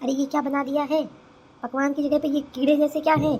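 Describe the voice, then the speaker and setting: A woman's voice: high voice, flat delivery, brisk, darkish tone, close to an everyday voice. A woman voicing a scolding, disdainful middle-aged mother-in-law in a family comedy cartoon. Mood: disgusted. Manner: nagging, reproachful.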